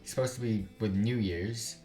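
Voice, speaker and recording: Low male voice, roomy recording, casual gaming commentary, stumbling read-aloud, slangy exasperated asides, music underneath. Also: dark tone. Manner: exasperated, informal slang, swearing; halting, stumbling reading. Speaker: gaming YouTuber, let's play host. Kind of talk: casual let's play commentary, reading visual novel text aloud.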